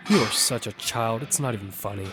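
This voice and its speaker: A man's voice: on the low side, fairly quick, lightly acted. Male actor as young man, casual first-person storyteller, confident, teasing, audio drama, music behind. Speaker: narrator and lead character. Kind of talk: first-person audio fiction narration and dialogue.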